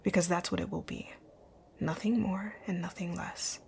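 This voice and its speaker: A woman acts out a rich, bossy female company owner for an ASMR roleplay. Her voice is low and gravelly with fry, often dropping to a breathy near-whisper. She is composed, curt and quietly flirtatious, over a faint noise floor. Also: steady tone. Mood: businesslike, commanding. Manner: composed, commanding, deliberate. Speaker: audio roleplay voice actor. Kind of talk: ASMR roleplay, scripted one-sided dialogue.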